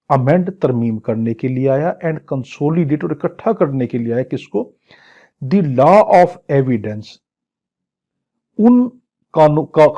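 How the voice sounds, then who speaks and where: A soft, mellow man's voice with a Pakistani accent, pitched a little high. He lectures like an exam coach, repeating points didactically and switching into English terms. The recording is somewhat dull and lo-fi. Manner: didactic, repetitive, drilling points. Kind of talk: instructional lecture with English legal terms mixed in. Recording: dull, muffled lo-fi audio.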